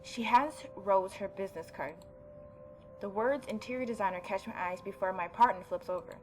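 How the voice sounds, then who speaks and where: A woman gaming YouTuber reading a story game aloud and adding expressive, mocking asides. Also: medium pitch, normal speed, soft background music. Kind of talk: let's-play reading aloud with reaction commentary.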